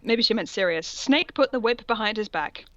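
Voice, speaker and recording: A woman's voice: a little high, animated, moderate pace, a bit bright, no echo, dull audio. A woman co-host reading fan fiction aloud in a comic dramatic style, mock-serious and amused, with casual sweary asides.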